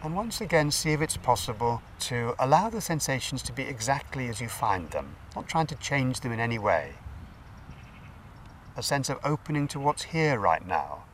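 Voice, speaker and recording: A man leading a guided meditation as a teacher, giving gentle, unhurried instructions with pauses. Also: medium pitch, big pitch swings. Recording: distant mic, faint background noise.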